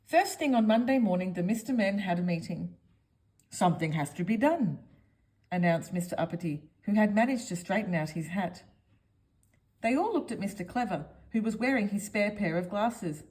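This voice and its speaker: A woman's voice: mid-range voice, animated, normal speed, a little mellow, rough recording. A woman reading a children's picture book aloud, expressively, as if speaking directly to young listeners. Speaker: storyteller.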